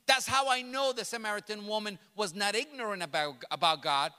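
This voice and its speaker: Very high-voiced, bright preaching man. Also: a little fast, clear, resonant tone. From grew up in New York City. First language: Spanish. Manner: emphatic, repeats and restarts phrases, builds to loud passionate peaks. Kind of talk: sermon. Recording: long reverb of a large hall.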